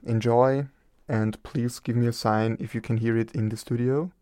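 A man's voice: mid-range voice, steady tone, moderate pace, warm tone, dry recording. A young man hosting a live radio show in a studio, talking in a loose, rambling, easygoing way. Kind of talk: casual live radio chat. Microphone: studio mic, wearing headphones.

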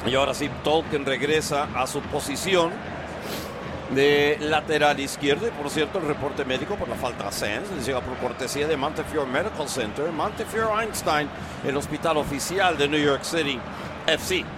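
A man's voice: a little high, moderate pace. A man giving live play-by-play commentary on a soccer match. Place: open-air soccer stadium. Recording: noisy outdoor stadium broadcast. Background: crowd chants and stadium ambience.

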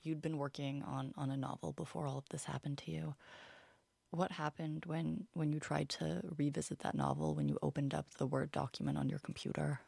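A woman interviewer asking soft, quiet questions. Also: sits low, little pitch movement, brisk, gravelly, breathy edge, no room sound.

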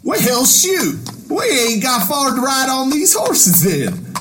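Dopey cowboy sidekick, high and whiny, drags his words slow , a guy doing the voice. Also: huge pitch swings, over music, full character voice. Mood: doubtful.

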